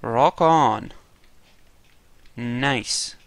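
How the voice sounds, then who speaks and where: A man's voice: medium pitch, very slow, vocal fry, no echo. A man giving casual, wry commentary on a game playthrough, musing aloud on a close mic. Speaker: gamer playing in character.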